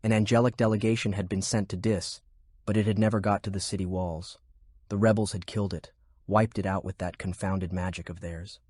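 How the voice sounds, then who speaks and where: A man reading scripted fiction narration in a measured, deliberate way. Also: low-pitched, fairly even intonation, a little mellow, no echo, studio quality. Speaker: audiobook narrator. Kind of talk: scripted narration of a fantasy war novel.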